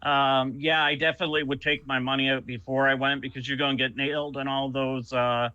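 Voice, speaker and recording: A man's voice: mid-range voice, little pitch movement, brisk, bright, forceful tone, clean tone, dry recording, dull audio. A man from Canada, a podcast guest, chatting casually and hesitantly with many ums and uhs. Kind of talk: casual chat.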